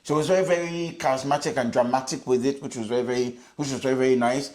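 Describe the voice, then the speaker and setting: A Nigerian man with a medium-pitched voice speaks as a co-host. He gives casual, conversational judgments on challenge entries. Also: fairly even intonation, fast talker, a crowd in the background. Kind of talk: casual reaction chat.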